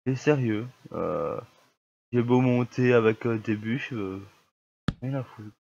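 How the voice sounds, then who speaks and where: A man's voice: mid-range voice, takes it slowly, creaky, darkish tone, dull audio, slight background noise. Grumbling young man with a cold. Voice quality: slightly stuffy, congested. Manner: grumbling, easily annoyed at lag. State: has a cold, coughing. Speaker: gamer. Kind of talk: casual gameplay commentary.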